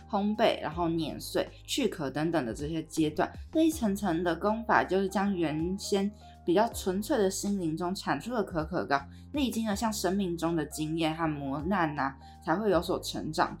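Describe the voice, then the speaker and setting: A woman with a Taiwanese accent and a fairly low voice hosts a podcast. She presents in a conversational style, with faint music playing underneath. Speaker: podcast host.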